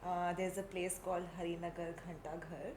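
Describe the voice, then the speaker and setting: A woman from Delhi with an Indian English accent, co-hosting a casual podcast chat and talking slowly and thoughtfully, with many restarts. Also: on the low side, level tone, a little mellow. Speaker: podcast co-host. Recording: echoey room with noise.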